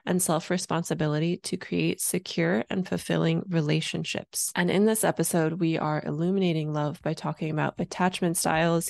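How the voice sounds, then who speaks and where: A woman hosting a podcast, reading a smooth, measured intro and then chatting casually with her guest. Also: sits low, darkish tone. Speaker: podcast host.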